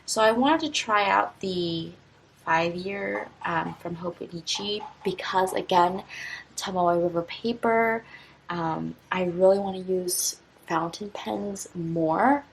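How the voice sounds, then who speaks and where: A woman in her twenties, a teacher, talking through a stationery unboxing in a relaxed, rambling way full of fillers and restarts. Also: lowish voice, slow pace, creaky, slight background noise, rough recording. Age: 20s. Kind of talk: casual unboxing vlog.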